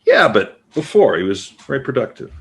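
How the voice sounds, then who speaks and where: A man acting as a nosy, teasing former literary agent in a stage play, husky-voiced, with a probing, conversational manner. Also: mid-range voice, sing-song, moderate pace, exaggerated voice. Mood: wry, storytelling. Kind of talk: naturalistic stage play dialogue.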